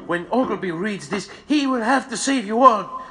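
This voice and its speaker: An actor playing an eager young newspaper boy bringing news to jailed men, urgent and excited. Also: high-pitched, moderate pace. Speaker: messenger visiting the jail.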